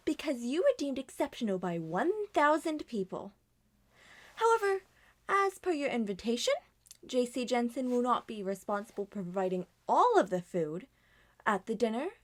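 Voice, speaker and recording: High, theatrical woman acting out roleplay. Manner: theatrical, switches between many character voices. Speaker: solo roleplay voice performer. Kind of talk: ASMR roleplay, acted comic character voices.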